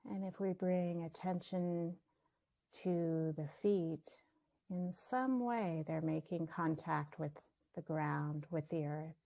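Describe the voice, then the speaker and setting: A woman leading a guided meditation, speaking gently and leaving long pauses between her phrases. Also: fairly low pitch, gravelly. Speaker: meditation teacher.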